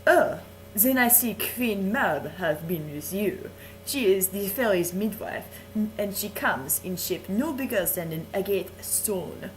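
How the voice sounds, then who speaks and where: A woman playing a slow, flirtatious Frenchman. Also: mid-range voice, animated, a little hiss or hum, natural voice, poor audio. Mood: sultry. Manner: slow, lingering, suggestive delivery with chuckles. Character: flirtatious vain adult Frenchman, seductive storyteller. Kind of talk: playful dramatic reading of verse.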